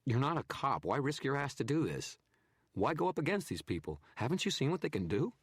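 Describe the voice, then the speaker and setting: A man voicing a jumpy male photographer, pitched up and pushed louder than the narration, stammering and pleading, dry studio sound. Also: big pitch swings, a little fast, breathy edge, no echo. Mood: agitated. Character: jittery frightened male photographer. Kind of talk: audiobook character reading.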